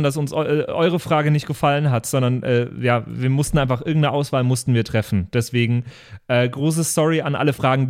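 A man hosting a podcast in casual, informal chat with bits of English slang. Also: medium pitch. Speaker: podcast host.

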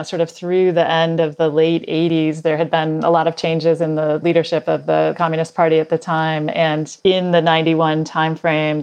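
A woman in her fifties, a podcast guest telling her story in a measured, articulate way. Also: low voice, a little mellow, clean tone. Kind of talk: conversational interview.

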